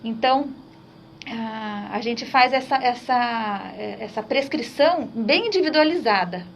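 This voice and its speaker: A woman's voice: a little high, unhurried, lo-fi sound, slight background noise. Hesitant Brazilian woman doctor explaining. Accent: Brazilian Portuguese. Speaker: doctor guest. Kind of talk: radio health interview.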